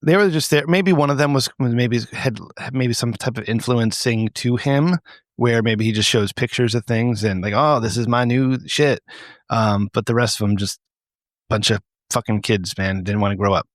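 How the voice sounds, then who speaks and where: A man's voice: medium pitch, moderate pace, dry recording. Male podcast co-host, middle-aged, crude and conversational, recorded close and dry. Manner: crude, profane. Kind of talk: casual comedic banter.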